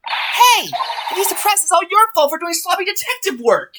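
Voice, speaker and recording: A man voicing a perky, cheeky teenage girl sidekick in a game dub, bubbly and excitable. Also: extremely high pitch, moderate pace, pitched above the performer's own voice, heavily acted. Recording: loud game music behind.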